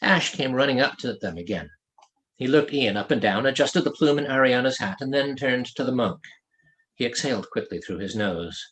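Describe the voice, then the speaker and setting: A man narrating a story as an author reading his own novel, in an expressive storytelling delivery. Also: mid-range voice, normal speed. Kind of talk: scripted audiobook narration.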